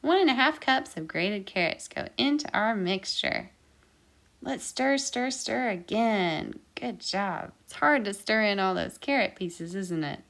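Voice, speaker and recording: A woman's voice: mid-range voice, animated, a little slow, creaky, a bit bright. A woman hosting an interactive children's baking storytime, encouraging listeners with sing-song, repeated instructions. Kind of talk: interactive children's baking lesson with counting and singing.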